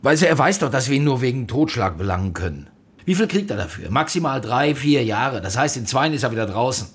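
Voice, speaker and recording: An actor playing a blunt, embittered middle-aged police interrogator in a naturalistic radio drama, pressing hard in a husky voice. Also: male voice, very animated, a little fast. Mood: frustrated. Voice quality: husky, low voice. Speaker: police detective.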